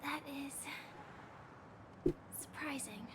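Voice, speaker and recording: An actress playing a soft-spoken, calm, enigmatic young woman in a fantasy video game, speaking gently and breathily. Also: high voice, very noisy. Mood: wistful, quiet. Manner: gentle, breathy, measured, often near a whisper. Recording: game music behind the voice. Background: game music.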